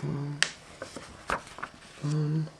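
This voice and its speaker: A man voicing an anxious little schoolgirl from a storybook, whiny and pleading in a raised pitch. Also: monotone, very slow, gravelly, dark tone, heavily acted. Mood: timid, hesitant. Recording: roomy echo.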